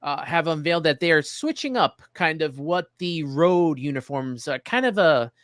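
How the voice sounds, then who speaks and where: A man hosting a casual sports interview, speaking conversationally with somewhat halting phrasing. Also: fairly high pitch, animated, fairly bright tone, dry recording, clean studio sound. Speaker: sports show host, interviewer.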